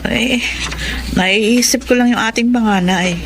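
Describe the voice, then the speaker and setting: A woman's voice: a little high, measured pace, noisy background, natural voice. A middle-aged woman playing a worried, caring mother of a poor family in a Filipino radio drama, with an anxious, tender manner. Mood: sorrowful.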